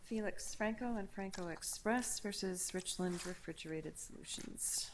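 A woman presiding over an appeal in a large courtroom, measured and direct as she questions counsel. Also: mid-range voice, noisy background. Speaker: presiding appellate judge.

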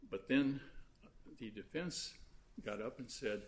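Soft-spoken man arguing in court. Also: mid-range voice. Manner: halting, with many fillers and restarts. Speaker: attorney arguing an appeal. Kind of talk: appellate oral argument. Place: large courtroom. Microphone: fixed courtroom recording mic.

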